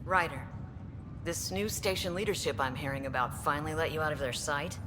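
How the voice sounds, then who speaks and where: An actor playing a brisk, dry-humored space station staffer in a video game, speaking in a matter-of-fact, teasing way. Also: mid-range voice, lightly acted. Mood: brisk, wry. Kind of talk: scripted video game dialogue. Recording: reverberant hall with ambient noise.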